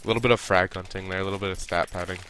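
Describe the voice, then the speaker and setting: A man's voice: sits low, fairly even intonation, very fast, fairly bright tone, slight background noise. A young man with a stuffy nose, casually reviewing a team's game and coaching the players directly. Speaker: esports coach reviewing a match.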